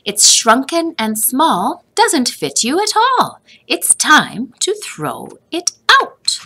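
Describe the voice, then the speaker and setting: A woman's voice: high-pitched, sing-song, sharp tone, pitched above the performer's own voice, full character voice. Woman playing an exasperated, emphatic storybook mother. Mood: firm scolding. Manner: emphatic, drawn-out scolding. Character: exasperated, bossy storybook mother scolding her young son.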